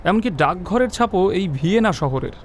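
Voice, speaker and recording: A man's voice: high-pitched, lively intonation, fairly quick, slight background noise. A man playing a deferential, earnest police officer in an audio drama. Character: deferential police officer reporting to his chief.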